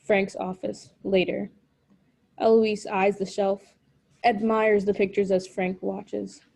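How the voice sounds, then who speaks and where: A woman reading scripted stage directions at a table read in an even, matter-of-fact way. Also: mid-range voice, slow, gravelly.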